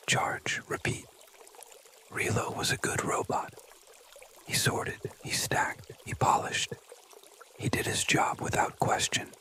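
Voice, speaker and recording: A storyteller whispers a children's bedtime tale in ASMR style. The whisper is bright and breathy, with no voiced tone. Phrases come deliberately, in short pieces with frequent pauses.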